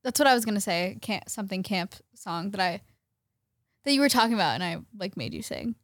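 A woman's voice: mid-range voice, very animated, fairly quick, no echo, clean studio sound. A young woman guest and actor, giving brief, sweet replies with giggles in a casual chat.

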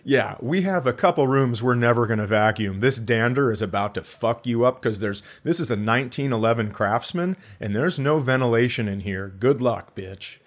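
A man's voice: mid-range voice, expressive, moderate pace, few pauses. Sardonic middle-aged man riffing on a podcast. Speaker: podcast co-host and comedian. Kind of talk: casual comedic banter.

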